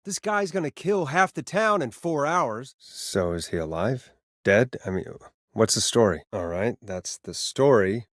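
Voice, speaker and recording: Actor as wry radio host, very animated man. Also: mid-range voice, measured pace, no room sound, clean studio sound, lightly acted. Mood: weary, wry. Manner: wry, exasperated, conversational. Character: weary, sarcastic late-night radio talk show host, man. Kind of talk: video game voice acting, radio patter and banter.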